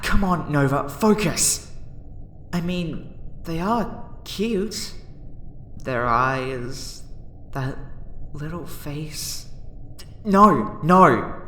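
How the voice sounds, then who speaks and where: A kind young alien woman, acted by a female performer talks softly and hesitantly to her human subject in an intimate ASMR roleplay. Her delivery is slow and tender, with a conflicted, easily flustered temper. Background noise and ambience sit behind the voice. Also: deep voice, full character voice. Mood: flustered self-scolding. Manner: soft, hesitant, talking directly to the listener.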